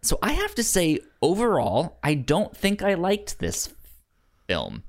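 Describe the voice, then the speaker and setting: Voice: mid-range voice, wildly swooping pitch, measured pace. A podcast co-host of unclear sex chatting casually about films, often stammering and repeating words and syllables. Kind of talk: casual film discussion podcast.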